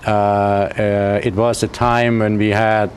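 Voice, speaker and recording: Halting Austrian man, low voice. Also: level tone, slight background noise. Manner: halting, many fillers and restarts. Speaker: physics professor, guest. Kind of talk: interview answers.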